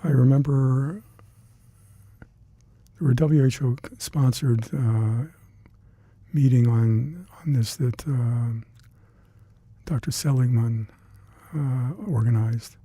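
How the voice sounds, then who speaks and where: An older man with Hungarian as his first language, recalling past work in an oral history interview, halting and reflective with many fillers and restarts. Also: mid-range voice, mellow, breathy edge, no echo. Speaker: interviewee, retired scientist.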